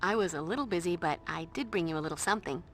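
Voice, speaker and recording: A woman's voice: fairly low pitch, racing, natural voice. An actress playing a tough young female vampire slayer who talks in a dry, sarcastic, commanding way. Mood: wry. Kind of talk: video game voice acting.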